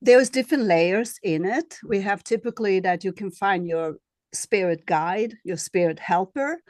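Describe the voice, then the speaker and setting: A woman with a Swedish accent, a healer being interviewed, who speaks in a halting way and searches for her words. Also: mid-range voice, a little mellow, no room sound, clean studio sound. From Sweden. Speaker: guest, shamanic healer and astrologer. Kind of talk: casual interview.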